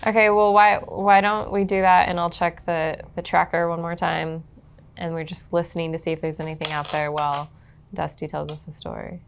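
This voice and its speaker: A woman's voice: on the low side, level tone, brisk, creaky, a little hiss or hum. A woman playing a tabletop role-playing game, talking casually as she works out her moves. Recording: background music under the voice.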